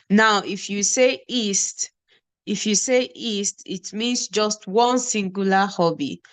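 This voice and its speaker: A Nigerian woman teaches a beginner class at a very slow pace. She breaks example sentences into single words and says each one on its own. Her voice sits at a middle pitch for a woman. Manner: slow, repeats example phrases word by word. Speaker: German teacher.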